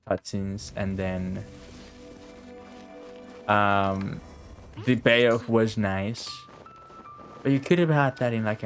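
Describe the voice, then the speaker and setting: A man giving casual, offhand live game commentary, swearing and muttering to himself as he plays. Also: fairly low pitch. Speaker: game streamer.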